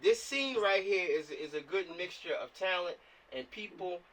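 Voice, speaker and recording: A man's voice: high voice, animated, normal speed, fairly bright tone. A man speaking as a film director in a casual, encouraging and emphatic pep talk over a video call. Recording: distant video-call microphone.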